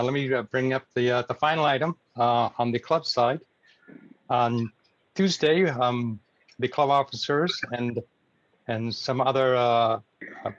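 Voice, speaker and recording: An American man moderating an informal club meeting on a video call, making announcements in a hesitant, halting way. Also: medium pitch, no room sound. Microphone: video call microphone. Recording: muffled video call audio.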